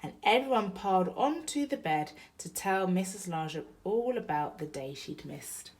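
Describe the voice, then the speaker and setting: A woman's voice: fairly low pitch, animated, a little slow, darkish tone, a little hiss or hum. A woman storyteller reading a picture book aloud expressively for young children.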